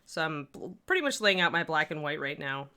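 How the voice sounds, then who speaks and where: A woman with a Midwestern accent talks through a painting livestream in a loose, rambling way, answering chat as she works. Her voice sits fairly low for a woman but sounds bright and forceful. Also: very animated, fairly quick, smooth. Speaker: miniature painting streamer. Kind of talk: casual livestream painting tutorial.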